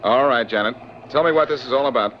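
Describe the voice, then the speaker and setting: An actor playing a cool-headed, flippant ex-crook turned sleuth in a 1940s radio drama, on a dull old recording. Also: male voice, medium pitch, slight background noise, close to an everyday voice. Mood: exasperated. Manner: flippant, brisk, unflustered.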